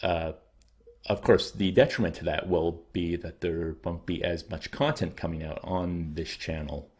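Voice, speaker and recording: A man hosting his own channel, talking casually and thoughtfully to his viewers, often pausing to weigh his words, his throat a little dry and scratchy. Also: sits low, darkish tone. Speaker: channel host.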